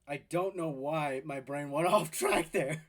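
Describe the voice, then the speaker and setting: A man doing amateur voice acting, chatting casually and excitably between takes, poking fun at himself. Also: fairly high pitch, measured pace. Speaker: amateur voice actor.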